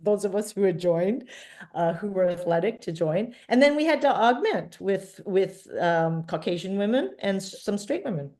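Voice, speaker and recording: A woman's voice: medium pitch, expressive, moderate pace, mellow. Woman in her sixties, chatty storyteller. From born in Trinidad, grew up in Vancouver. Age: 60s. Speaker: filmmaker guest. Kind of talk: casual interview chat.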